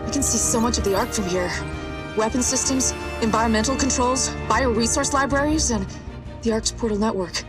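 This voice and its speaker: A woman playing a curious, plucky scientist in a video game, explaining things in a brisk, eager manner. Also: fairly high pitch, over loud music, lightly acted. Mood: awed, excited.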